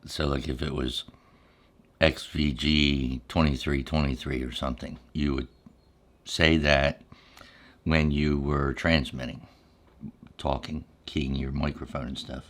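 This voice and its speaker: Very deep-voiced American man, low and even. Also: a little slow, long pauses. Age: middle-aged or older. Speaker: ASMR storyteller. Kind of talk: unscripted ASMR storytelling, casual reminiscing.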